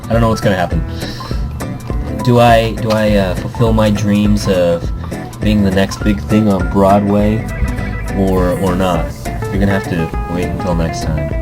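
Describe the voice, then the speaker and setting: A man hosting a let's play, reading a visual novel aloud and commenting informally, stumbling here and there, with music behind. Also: on the low side. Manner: informal, self-deprecating, stumbles over reading. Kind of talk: story narration with casual commentary.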